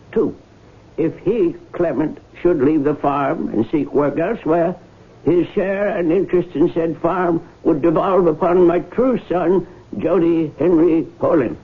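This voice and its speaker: A man acting a fussy, formal country lawyer in a 1970s radio drama, husky and apologetic. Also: fairly high pitch. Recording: thin phone-like old radio broadcast.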